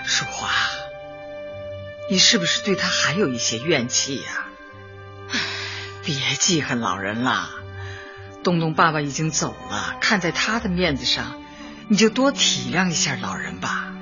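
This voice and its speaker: A woman's voice: low-pitched, very animated, a little slow, pauses often, dull audio, over music. An actress playing a kindly elderly woman community party secretary in a Chinese radio drama, patient and coaxing. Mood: gently persuading.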